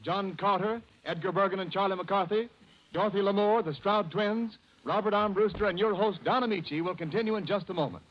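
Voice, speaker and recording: A man's voice: high-pitched, brisk. A man announcing a variety show in 1930s radio style. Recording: dull band-limited old radio recording.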